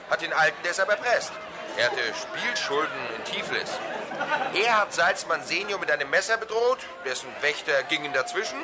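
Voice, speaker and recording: A man's voice: on the high side, noisy background. An actor playing a dutiful, slightly needling police assistant reporting to his chief in a radio drama. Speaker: police subordinate character.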